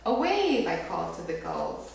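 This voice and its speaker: A low-pitched-to-average woman's voice is not needed here; give a woman reading a children's story aloud expressively, with some creak in her voice. She is recorded from well across a very echoey room, with faint noise behind her. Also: sing-song, normal speed, darkish tone, distant mic. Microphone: far from the reader.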